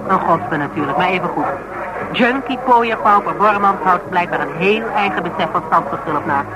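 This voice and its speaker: A woman's voice: lowish voice, normal speed, very noisy, natural voice. Radio play: actress as outspoken woman, Netherlands Dutch accent, argumentative and teasing, stubbornly reasons things out in everyday language. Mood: teasing, persuasive. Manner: emphatic, argumentative, colloquial, teasing. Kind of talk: naturalistic radio drama dialogue.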